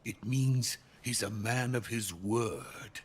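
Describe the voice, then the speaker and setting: A man's voice: mid-range voice, slow pace, husky. A man playing a wary, urgent captive scientist in a video game, speaking in a guarded, intense way. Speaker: voice actor. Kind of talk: cinematic video game voice acting.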